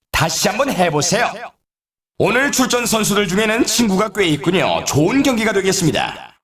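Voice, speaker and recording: An actor playing an excitable male snowboard race announcer in a video game, with formal, polite phrasing and showy flair. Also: high voice, roomy sound. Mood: regretful, then upbeat.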